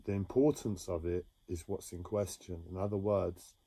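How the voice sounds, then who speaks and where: Man, deep dark voice, halting reflective solo talk, dry. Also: steady tone, husky, no echo. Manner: halting, with frequent pauses and restarts. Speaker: livestreamer sharing a personal story. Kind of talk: slow reflective monologue. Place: home.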